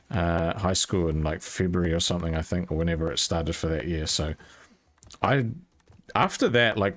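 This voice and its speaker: A man's voice: low-pitched, a bit bright, no room sound. A young man telling a rambling personal story casually while gaming, candid and informal with some casual swearing. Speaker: YouTube content creator.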